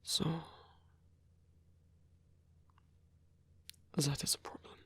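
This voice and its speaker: A man playing a lonely, courteous old vampire in an intimate ASMR roleplay, speaking in a breathy, hesitant, softly formal way. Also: fast, no echo. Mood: reassuring, conflicted. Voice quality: breathy, husky, gravelly whisper.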